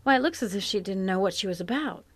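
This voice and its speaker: A woman's voice: mid-range voice, lively intonation, fast, fairly bright tone. Woman playing plainspoken, wry farm wife. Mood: searching. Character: shrewd, sympathetic farm wife, middle-aged. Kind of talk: live audio drama. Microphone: home closet mic, dry.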